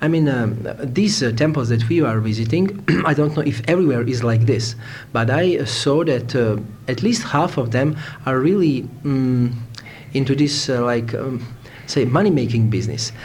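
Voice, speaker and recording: A man's voice: medium pitch, animated, normal speed, a little mellow. A man from Serbia with a Serbian accent, answering interview questions conversationally and searching for words in English. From Novi Sad, Serbia. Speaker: interviewee, Hare Krishna devotee. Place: a room in India. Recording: faint background noise, informal room interview.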